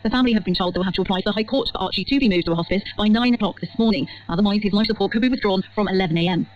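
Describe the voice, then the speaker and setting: A woman's voice: mid-range voice, racing. A woman reading a scripted news report as a radio reporter. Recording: muffled shortwave radio sound.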